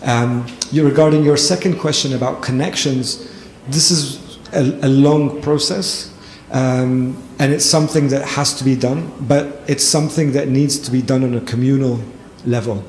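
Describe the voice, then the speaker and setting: A man's voice: mid-range voice, steady tone, normal speed, a little mellow. A man answering an audience question at a panel talk, thoughtful, with frequent ums and restarts. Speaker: lecturer and panelist. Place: event hall with audience. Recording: echoey hall, faint noise.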